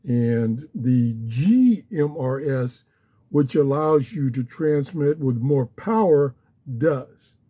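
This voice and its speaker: A man giving a casual, unscripted explainer as a hobbyist host, speaking haltingly in short phrases with pauses. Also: medium pitch, expressive, warm tone. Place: small home office.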